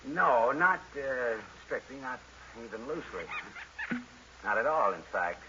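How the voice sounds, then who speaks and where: An actor playing a deadpan, wisecracking private detective who narrates his case in old-time radio noir style. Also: medium pitch, unhurried, slight background noise. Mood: flippant. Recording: dull vintage radio recording with music.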